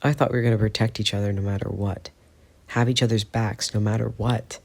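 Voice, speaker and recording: A man voicing an angry, hurt teenage boy who argues loudly and pleads with a gravelly voice. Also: fairly low pitch, level tone, a little fast. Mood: anguished. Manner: loud, pleading, heated.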